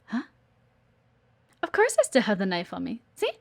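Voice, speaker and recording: A woman's voice: medium pitch, wildly swooping pitch, normal speed, gravelly. A woman playing a playful, teasing, observant executive assistant in an intimate one-sided audio roleplay. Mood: teasing, faintly menacing. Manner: coy, teasing, chatty, with murmured asides.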